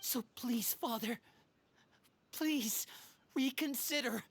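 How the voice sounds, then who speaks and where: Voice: medium pitch, slow pace, a bit bright, slight background noise. An actor voicing an earnest young orphan boy in a game cutscene who pleads for mercy.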